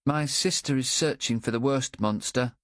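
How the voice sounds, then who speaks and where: A man voicing an anxious, simple-minded young boy in an audiobook, talking in a halting, earnest way. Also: mid-range voice, fairly bright tone, no room sound, studio quality, voice pushed up, lightly acted. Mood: earnest chatter. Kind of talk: audiobook character voice.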